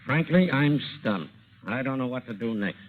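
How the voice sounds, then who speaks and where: A man's voice: medium pitch, expressive, normal speed, lightly acted. Tinny old-radio police inspector played by a man. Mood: businesslike. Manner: emphatic, slangy, matter-of-fact. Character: blunt, stubborn police inspector on a murder case. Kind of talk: 1940s radio drama acting. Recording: tinny, noisy vintage radio broadcast recording.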